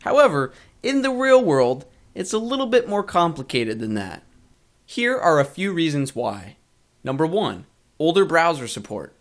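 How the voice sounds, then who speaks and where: A man narrating a scripted tutorial as a course instructor, measured and clearly enunciated. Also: on the high side, big pitch swings. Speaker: web design course instructor.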